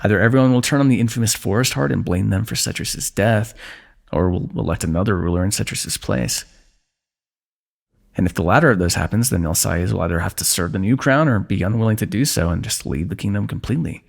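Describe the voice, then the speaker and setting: ASMR fantasy roleplay: male actor as a war-weary, dryly teasing fighter, deep-set voice with heavy creak, formal diction. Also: brisk. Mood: thoughtful, scheming. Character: weary, wry fantasy warrior plotting against a kingdom. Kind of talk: ASMR fantasy roleplay, one-sided dialogue.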